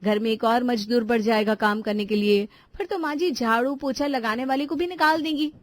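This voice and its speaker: A woman's voice: on the high side, fairly even intonation, fairly quick, no room sound, natural voice. A woman voicing an outspoken young daughter-in-law in a cartoon family drama, needling others with mock innocence and sarcasm. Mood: sweetly sarcastic. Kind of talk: animated family drama voice acting in Hindi.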